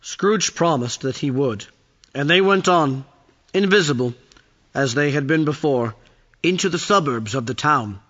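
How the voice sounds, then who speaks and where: A man's voice: fairly high pitch, lively intonation, measured pace, a bit bright. A man reading scripted storybook narration for an audio play, on a dull recording with music behind.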